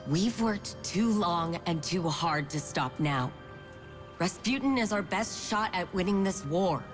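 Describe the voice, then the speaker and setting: An actress playing a firm, principled military leader in a video game, deliberate and resolute. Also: female voice, fairly low pitch, expressive, over music. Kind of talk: dramatic video game acting.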